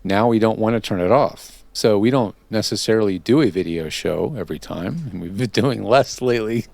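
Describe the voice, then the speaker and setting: A man's voice: lowish voice, brisk, creaky, darkish tone, dry recording. A man hosting a casual interview, chatting in a rambling way that drifts into tangents. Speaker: podcast host and interviewer.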